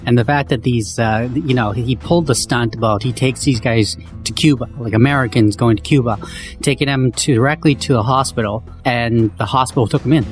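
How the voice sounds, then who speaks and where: Podcast co-host, man, hoarse from poor sleep, rambling, faint music under. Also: mid-range voice, fairly quick. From Canada. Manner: rambling, loose, many fillers and restarts. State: voice rough from lack of sleep. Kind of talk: casual chat.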